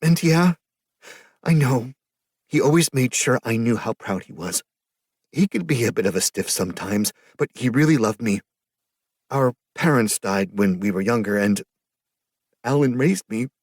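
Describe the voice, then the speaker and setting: A man's voice: medium pitch, expressive, a little slow, long pauses, a little mellow, breathy edge, no room sound, clean studio sound, voice pushed up. A man voicing a distraught young woman who pleads through tears over her brother's death. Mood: tearful. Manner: teary, sobbing, breathless pleading.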